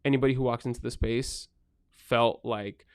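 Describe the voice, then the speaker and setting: A man's voice: medium pitch, steady tone, normal speed, clear, resonant tone. An earnest man talks in a casual podcast conversation about his work running a restaurant. He often repeats words as he gets a thought going. The sound is dry and close, with no room echo. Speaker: restaurant owner.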